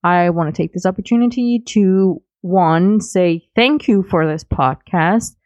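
Slow-paced, lowish woman, close and dry. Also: darkish tone, no room sound, lo-fi sound. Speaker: podcast contributor leaving recorded comments. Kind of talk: casual spoken commentary.

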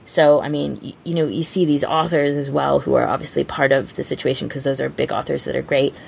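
Low, very creaky woman podcaster. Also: level tone, fairly quick, a little hiss or hum. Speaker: podcast co-host. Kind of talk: casual chat.

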